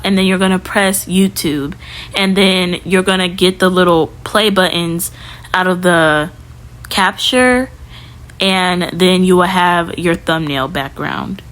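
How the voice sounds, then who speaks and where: A woman's voice: mid-range voice, fairly bright tone. A young woman giving a casual, chatty how-to tutorial as a video creator, talking informally with lots of fillers. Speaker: YouTube creator.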